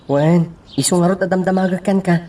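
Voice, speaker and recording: A man's voice: sits high, steady tone, brisk, mellow, natural voice. A man acting as a hesitant, earnest young suitor in an Ilocano radio drama. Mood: eager.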